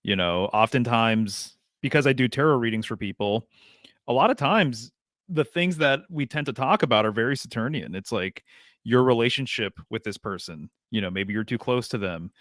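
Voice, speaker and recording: A man's voice: mid-range voice, normal speed, a bit bright, no room sound, studio quality. A man who reads tarot, a podcast guest explaining his ideas in a reflective, casual way with filler uhs. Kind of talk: casual conversational podcast chat.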